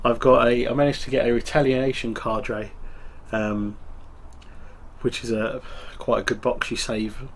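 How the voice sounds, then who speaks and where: Male host, congested from a cold, loose and rambling, plain middle pitch. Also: unhurried. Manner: relaxed, rambling, full of ums. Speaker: tabletop wargaming channel host. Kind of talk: casual hobby show-and-tell.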